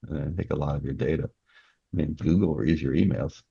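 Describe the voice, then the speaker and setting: Very deep, husky man in conversation. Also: steady tone, fairly quick, mellow, no echo, lo-fi sound. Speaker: podcast guest, author; security company worker. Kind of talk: casual explanatory conversation.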